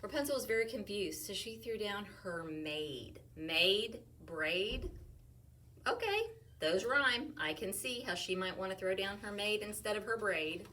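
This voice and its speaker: A woman teacher walks young children through a picture book, speaking slowly and playfully. Her pitch swoops up and down as she sounds out rhyming words. She sits a little back from the mic, and a faint hum hangs in the room. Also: far from the mic. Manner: slow, playful and expressive, sounding out rhymes. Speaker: teacher reading to her class. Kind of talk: read-aloud story discussion for young children.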